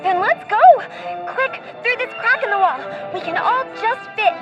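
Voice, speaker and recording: A woman's voice: very high voice, normal speed, dull audio, over music. A woman voicing a plucky, protective young girl in an audio drama, earnest and eager in her delivery. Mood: urgent.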